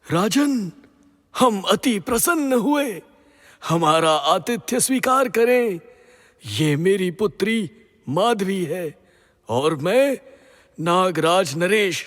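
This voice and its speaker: A man's voice: sits high, lively intonation, slow pace, fairly bright tone, exaggerated voice. An actor playing a gracious, fatherly serpent king in a mythological audio drama, speaking formally and ceremoniously. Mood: grateful, courteous. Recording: long reverberant hall echo.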